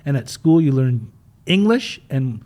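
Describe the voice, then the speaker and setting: A man's voice: medium pitch, big pitch swings, unhurried, dark tone. A man who grew up in Germany and Utah, with German as his first language, telling his life story frankly in a rambling interview style. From Germany, raised in Utah. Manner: rambling, frank, frequent restarts. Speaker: podcast guest.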